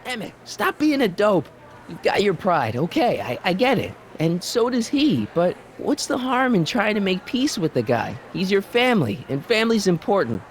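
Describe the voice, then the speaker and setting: Earnest, high-voiced American teenage boy. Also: sing-song, moderate pace, a little hiss or hum. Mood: pleading. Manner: hesitant, pleading, casual. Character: earnest, well-meaning teenage boy, peacemaker. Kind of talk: video game voice acting.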